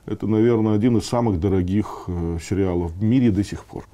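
A man in his sixties giving conversational interview answers, explaining haltingly and posing rhetorical questions. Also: fairly low pitch, mellow. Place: meeting room, indoors.

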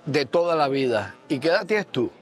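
A man's voice: a little high, big pitch swings, fairly quick, crowd noise. An older man from Venezuela hosting a casual interview at a dining table, echoing his guests' answers back. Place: bright room at a dining table. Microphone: lapel mic.

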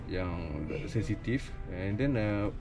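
A man's voice: lowish voice, slow pace, music in the background. A young man with a Malaysian accent explaining technical things casually, hesitating and searching for words. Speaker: audio specialist. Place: small cluttered office, indoors.

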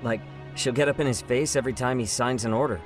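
A man's voice: medium pitch, fast talker, music in the background, lightly acted. An actor playing a casual, blunt young man, an irreverent sidekick in a video game drama.

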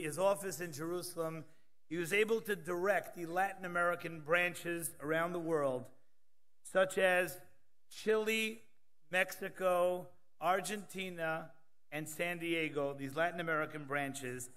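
A man's voice: high voice, a little slow, fairly bright tone. A man in his thirties emceeing a conference, reading introductions from notes with joking asides at a podium microphone in a large hall. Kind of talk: scripted introductions with light jokes.

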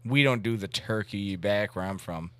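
A man in his thirties hosting a comedy podcast, chatting casually in a rambling, halting way with crude humor. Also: lowish voice, fairly bright tone. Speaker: comedy podcast host making a prank call. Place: indoor room at a desk.

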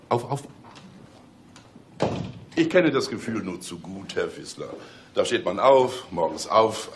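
An actor playing a commanding, sardonic police chief inspector in a German radio crime play, mock-polite and ironic. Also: male voice, low voice, fairly bright tone, slight background noise, full character voice.

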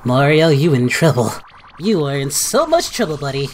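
A woman voicing an excitable, goofy boyish cartoon hero, hosting a casual reaction video with theatrical exclamations. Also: sits low, moderate pace, soft background music. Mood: upbeat, chatty. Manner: theatrical, exclamatory, prone to squeals.

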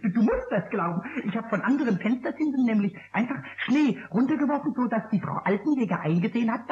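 An actor playing a cheeky, boastful little male kobold in an old radio play, giggling and chattering. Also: very high-pitched. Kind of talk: 1960s radio play acting. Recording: lo-fi phone-like old radio recording.

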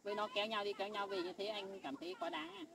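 A woman's voice: medium pitch, lively intonation, racing, vocal fry, distant mic, a crowd in the background. A woman playing a jealous young wife who talks in an insistent, accusing, threatening way in an amateur family skit. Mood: complaining. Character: jealous young wife, sharp-tongued and stubborn.

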